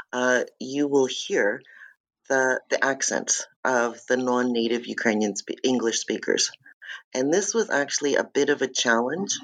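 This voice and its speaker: A woman being interviewed about her book, answering in a calm, explanatory way. She fills her pauses with soft fillers and keeps a steady, even delivery. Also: extremely low pitch, rough recording. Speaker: author and former radio show host, interview guest. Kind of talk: academic interview.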